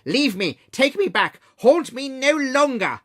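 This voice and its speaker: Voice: mid-range voice, big pitch swings, normal speed. A reader doing an anguished old miser crying out. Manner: pleading, crying out.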